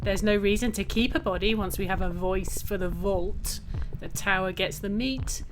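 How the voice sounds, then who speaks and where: A woman's voice: medium pitch, normal speed, background noise, lightly acted. A woman playing a cool, menacing villain in a comic horror audio drama, dry and commanding. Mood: dry menace. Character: cool, menacing woman hunter serving evil tower.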